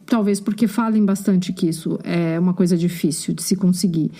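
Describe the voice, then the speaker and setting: A Brazilian woman with a Brazilian accent, a podcast guest chatting casually and frankly, animated and quick to laugh. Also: lowish voice. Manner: animated, frank, swears when annoyed, laughs easily. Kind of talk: casual chat.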